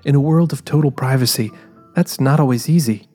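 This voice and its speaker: A man's voice: medium pitch, brisk, close to an everyday voice. A man playing a guarded, driven female private eye, narrating introspectively in a scripted audio drama. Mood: guarded, reflective. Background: quiet music.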